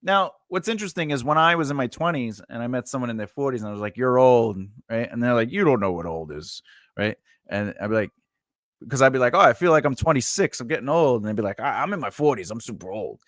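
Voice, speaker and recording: A man's voice: medium pitch, expressive, fast talker, a bit bright, no room sound, clean studio sound. A man in his forties, a writing teacher and editor, chatting casually and rambling with laughs during a live Q&A. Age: 40s.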